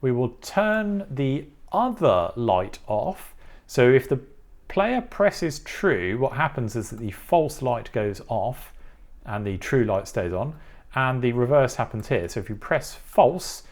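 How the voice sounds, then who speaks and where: A man's voice: mid-range voice, sing-song, slow pace. A man giving a methodical, step-by-step technical tutorial as the presenter. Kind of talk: explanatory coding tutorial walkthrough.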